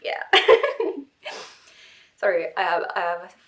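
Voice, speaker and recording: A woman's voice: on the low side, big pitch swings, a bit bright. A young woman with a cold talks through her homemade costume in a loose, rambling vlog. She keeps restarting her sentences and running herself down, sniffling as she goes. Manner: rambling, self-deprecating, halting restarts. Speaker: cosplay vlogger. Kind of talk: casual vlog talk.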